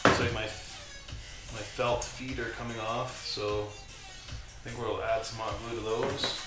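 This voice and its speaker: A man with a low voice hosting a live build stream, thinking aloud as he works at his bench, with halting restarts. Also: little pitch movement. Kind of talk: casual live workshop commentary. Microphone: condenser mic set away from him. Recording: distant, roomy mic with music playing. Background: music from a streaming app.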